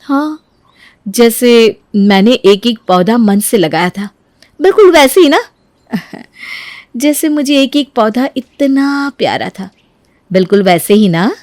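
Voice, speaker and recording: A woman's voice: on the high side, lively intonation, slow, a little mellow, no room sound. A woman voicing a smug, spiteful sister-in-law in a dramatised family story, with a mocking, pointed delivery. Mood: smug, taunting. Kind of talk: dramatised Hindi moral story voice acting.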